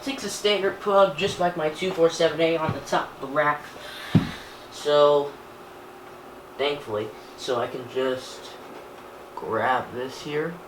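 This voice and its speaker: A man casually talking through an unboxing and setup as he works, halting and half muttering to himself. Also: on the high side, steady tone. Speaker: YouTuber unboxing and setting up a radio. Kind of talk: casual unboxing narration while handling items. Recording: echoey room with clear background noise.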